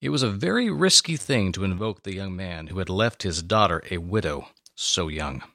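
A man reading scripted dramatic narration with a theatrical, expressive delivery. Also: sits low, moderate pace, no echo, clean studio sound.

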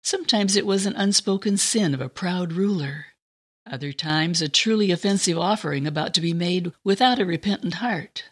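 A woman's voice: fairly low pitch, breathy edge. A woman reading scripted dramatic narration as a storyteller.

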